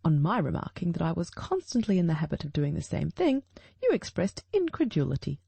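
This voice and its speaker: Woman as shrewd male detective, audiobook dialogue, mellow, husky, didactic, formal, self-assured. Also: on the low side, sing-song, brisk, no room sound, heavily acted.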